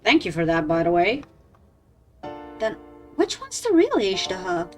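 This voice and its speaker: A woman's voice: medium pitch, huge pitch swings, a little slow, darkish tone, soft background music. A woman streaming a video game, giving casual, playful commentary and reacting aloud, often repeating herself.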